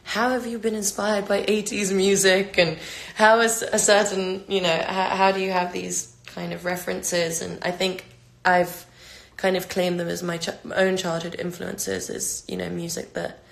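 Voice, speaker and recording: A woman's voice: on the low side, little pitch movement, normal speed, creaky, few pauses. A woman in her twenties with a London accent, casually answering fan questions on a phone livestream, rambling with lots of fillers and restarts. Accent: London English. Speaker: singer answering fans' questions. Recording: echoey room on a phone livestream.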